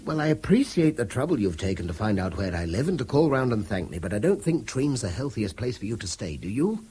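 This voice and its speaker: An actor playing a tense, principled schoolteacher in a radio drama, with a very husky, voice and a formal, uneasy manner. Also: male voice, medium pitch, very animated, brisk, dark tone. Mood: uneasy, practical. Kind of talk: naturalistic radio drama acting.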